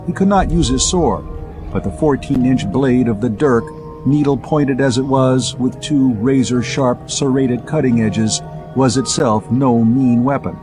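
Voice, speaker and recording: A man reading scripted pulp science fiction narration as an audiobook, with music playing behind him. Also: mid-range voice.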